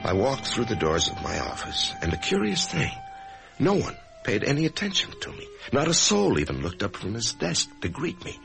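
An actor playing an anxious, death-haunted office man in a radio drama, speaking in a halting, emotional way. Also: mid-range voice, wildly swooping pitch, gravelly, over music, poor audio. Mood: bewildered. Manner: halting, emotional, swinging from brooding to agitated. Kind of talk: old-time radio drama acting, first-person narration and dialogue.

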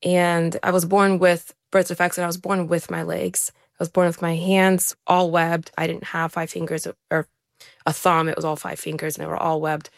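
Woman, young adult, from Ukraine, interview guest, earnest, slightly low, no room echo. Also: flat delivery, moderate pace, vocal fry, studio quality. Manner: earnest, conversational, with frequent restarts. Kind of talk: candid personal storytelling.

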